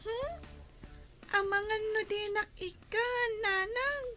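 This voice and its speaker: A woman's voice: extremely high pitch, takes it slowly, bright, forceful tone, faint music behind, exaggerated voice. A woman voicing a pleading, whiny young child with a northern Philippine accent in a comedy radio drama. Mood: whining.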